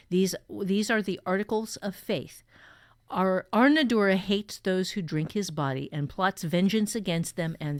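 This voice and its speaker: A woman teaching and co-hosting a conversational workshop, explaining things emphatically and repeating key points. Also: lowish voice. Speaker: fiction writing teacher and co-host.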